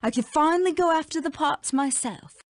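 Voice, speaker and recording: A woman's voice: sits high, fairly quick, no echo, natural voice. A woman playing a haughty, treacherous cartoon animal villain in a video game, dramatic and scornful.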